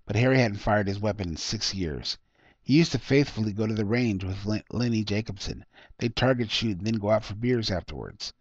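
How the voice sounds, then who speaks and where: A man's voice: fairly low pitch, a little fast, no room sound. A man reading his own short story aloud as scripted narration. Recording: dull lo-fi close recording.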